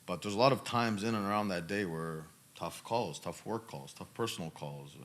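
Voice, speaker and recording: A man's voice: low-pitched, moderate pace, fairly bright tone. A man in his thirties talking casually as a podcast host, emphatic and given to repeating his phrases. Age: 30s. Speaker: podcast host answering questions. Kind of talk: casual conversational talk.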